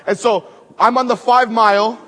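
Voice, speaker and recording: High-voiced preacher from Maui in a hall. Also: male voice, fairly even intonation, moderate pace. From Hawaii (Maui). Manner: emphatic, swings between loud rising build-ups and hushed low asides. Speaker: guest preacher. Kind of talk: conference sermon with storytelling.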